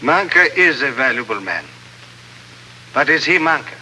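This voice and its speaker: An actor playing a coldly courteous, menacing villain chieftain in a 1950s radio adventure, speaking in formal, measured sentences. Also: male voice, medium pitch, very animated, a little hiss or hum, low-quality recording. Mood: cool, commanding. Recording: old 1950s radio recording, loud music.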